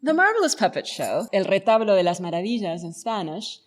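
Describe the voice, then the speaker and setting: A woman's voice: medium pitch, very animated, fairly quick, a little hiss or hum. A woman presenting a scripted literary lecture as narration.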